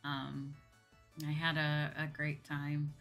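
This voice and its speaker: A woman's voice: deep voice, monotone, slow pace, gravelly, a bit bright. A woman hosting a livestream as a VTuber, talking casually in a chatty, giggly way. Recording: background music behind voice. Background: music.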